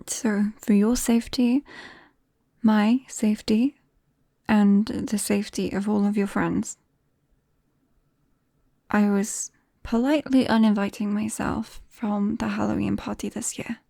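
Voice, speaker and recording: A British woman playing a shy, embarrassed young vampire confiding in a friend in an ASMR roleplay, soft-spoken and hesitant, often slipping into whispers, close to the microphone. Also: medium pitch, fairly even intonation, a little slow, gravelly, long pauses, no echo. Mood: sheepish, earnest. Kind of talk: ASMR roleplay, intimate and conversational.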